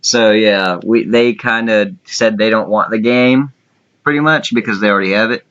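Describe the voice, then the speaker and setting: A man's voice: on the low side, normal speed, a bit bright, clear, resonant tone. Muffled, informal man chatting casually. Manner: blunt, informal, swears casually. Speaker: online contest co-host and judge. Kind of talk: casual unscripted chat. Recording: muffled lo-fi voice recording.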